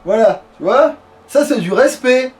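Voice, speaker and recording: A man's voice: very high-pitched, animated, measured pace, a little mellow, soft background music. A man commenting casually on his game, with crude joking slang. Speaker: let's player. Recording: noisy home recording.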